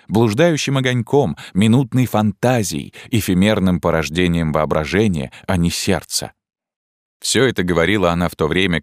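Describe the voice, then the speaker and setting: Very deep-voiced male story narrator. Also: no room sound, clean studio sound. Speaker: audiobook narrator. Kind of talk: literary story narration in first person.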